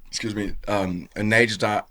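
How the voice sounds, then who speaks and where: Low-voiced French man, chatty and rambling. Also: fairly even intonation, moderate pace, sharp tone. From France. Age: 30s. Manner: rambling, filler-heavy, often says "you know what I mean". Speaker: tattoo artist guest. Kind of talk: casual podcast interview.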